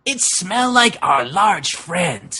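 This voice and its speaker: A man acts out an earnest wrestler with the face of a dog in a comic fan-fiction reading. The voice is rough and bright, swinging widely in pitch as it pleads slowly in broken English. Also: big pitch swings, exaggerated voice. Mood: grave, confessing. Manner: melodramatic, halting broken English.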